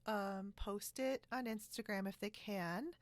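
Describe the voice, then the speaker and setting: A woman's voice: mid-range voice, a little slow, dry recording. Chatty woman podcast host in a dry recording. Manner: chatty, laughs often. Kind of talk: casual video podcast chat. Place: split-screen video call, recorded dry.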